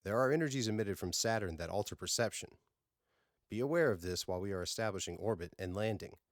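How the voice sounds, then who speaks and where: A precise, cautionary female ship's doctor, read by a male narrator in a science fiction audiobook. The voice is formal and low, with a dry, close sound. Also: quick pace, no room sound, lightly acted.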